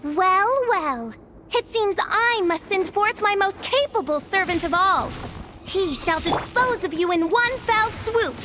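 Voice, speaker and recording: A woman's voice: very high-pitched, animated, a little slow. A woman voicing a grandiose young princess in a video game, speaking in pompous, archaic, dramatic phrases and commanding her servants. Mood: haughty. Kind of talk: video game character acting.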